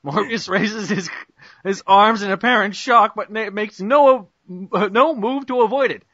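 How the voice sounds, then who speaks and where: Young man, hammy amateur narrator, high pitch, bright forceful tone, stumbles over lines, muffled dry call audio. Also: a little slow, dry recording. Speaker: narrator in a group script reading. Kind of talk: amateur mock-dramatic narration of a cartoon parody.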